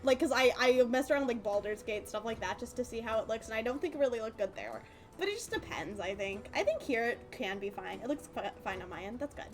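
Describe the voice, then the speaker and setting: A woman's voice: on the high side, lively intonation, fast, faint music behind. A woman game streamer chatting casually, in an informal, rambling way. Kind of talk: casual livestream chat.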